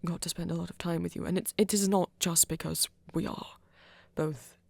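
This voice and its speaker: A performer playing a flirty, earnest vampire in an ASMR romance roleplay, speaking haltingly and intimately in a husky, often half-whispered voice. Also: mid-range voice, fairly even intonation, a little mellow, dry recording. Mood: tender, reassuring. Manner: hesitant, halting, breathy and intimate. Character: flirty, earnest vampire confessing love, soft-spoken.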